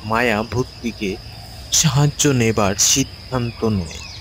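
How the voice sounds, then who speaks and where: Slow-paced male storyteller. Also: mid-range voice, very noisy. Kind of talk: scripted narration of a children's ghost story.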